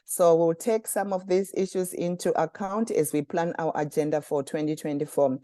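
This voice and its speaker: A woman from Zimbabwe hosting a community webinar, reading formal prepared remarks in a measured way. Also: sits low, fairly even intonation, mellow, dry recording, studio quality. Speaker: communications director and webinar host. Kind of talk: scripted webinar introduction.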